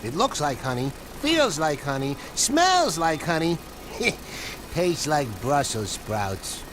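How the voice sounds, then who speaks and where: A man voicing a smug, folksy hornet henchman who taunts in a drawling, mock-polite way in an animated game. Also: on the high side, takes it slowly, background noise. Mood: gloating. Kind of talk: animated game villain acting.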